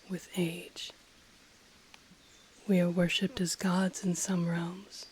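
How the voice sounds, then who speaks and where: A woman voicing an ancient, haughty, teasing dragon in human form, in an intimate audio roleplay with a breathy voice that often drops to a whisper. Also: fairly low pitch, a little mellow. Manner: slow, deliberate, condescending, teasing. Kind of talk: intimate audio roleplay, scripted character acting.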